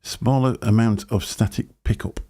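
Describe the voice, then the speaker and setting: A man's voice: fairly even intonation, normal speed, warm tone. A man, hosting a casual live stream and rambling from topic to topic into a desk microphone. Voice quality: deep booming voice. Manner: rambling, chatty, chuckling to himself. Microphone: desk microphone through a mixer.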